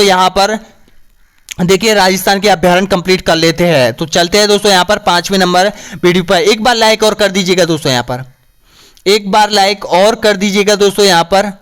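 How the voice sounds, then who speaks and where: A man teaches an online exam-prep lesson, and his voice is a little hoarse. He speaks in a high, clear, smooth tone. He asks each question, then answers it himself, with a constant "friends, here" as a filler. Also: steady tone, moderate pace, a bit bright. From Rajasthan, India. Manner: repeats "dosto yahan par", asks then answers. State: slightly hoarse.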